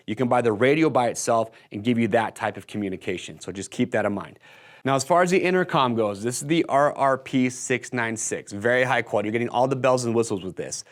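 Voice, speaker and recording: An American man in his thirties giving an enthusiastic, casual product walkthrough to camera in a conversational sales style. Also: mid-range voice. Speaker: product video presenter. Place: indoor workshop-style studio set.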